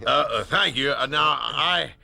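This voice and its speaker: An actor playing a pushy, blustering middle-aged American father in a radio drama, with a gravelly voice. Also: mid-range voice, unhurried, noisy background. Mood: jovial, flustered.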